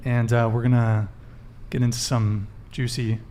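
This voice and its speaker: Male live podcast host, quick promo patter, mid pitch, faint room hum. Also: little pitch movement, mellow. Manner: brisk promo patter with fillers. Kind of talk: live podcast hosting, reading announcements.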